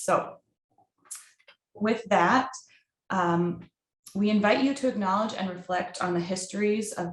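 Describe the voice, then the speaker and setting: A woman opening and hosting an online workshop, speaking deliberately with some fillers over a video call. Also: on the low side, reverberant. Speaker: workshop host.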